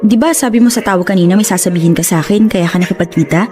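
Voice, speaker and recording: A woman's voice: lowish voice, fast, over music, close to an everyday voice. A woman playing a coy, playful young woman in a Tagalog radio drama, chatting in a teasing, protesting way. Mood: light, friendly. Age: young adult.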